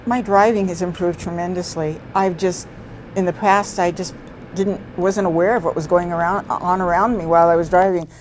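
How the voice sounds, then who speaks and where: Female interviewee, earnest and enthusiastic, lowish voice, light room hiss. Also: steady tone, normal speed, poor audio. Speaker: program participant. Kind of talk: testimonial interview.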